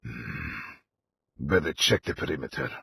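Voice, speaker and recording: A man voicing a vengeful, wrathful militant commander in a video game, gravelly and commanding, delivering menacing combat lines. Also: rumbling low, big pitch swings, measured pace, pushed and bright, a little hiss or hum, exaggerated voice. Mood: grim. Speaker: playable game character.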